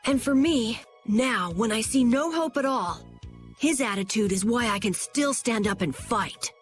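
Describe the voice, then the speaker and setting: A woman voicing an eager, loyal young boy in a video game, speaking with high, emotional earnestness. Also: expressive, measured pace, husky, faint music behind. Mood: earnest.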